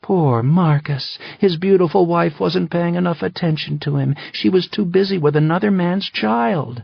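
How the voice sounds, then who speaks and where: A man's voice: mid-range voice, moderate pace, creaky, slightly rough, dry recording, dull audio. A man voicing a furious, grieving, betrayed wife who speaks with intense accusation.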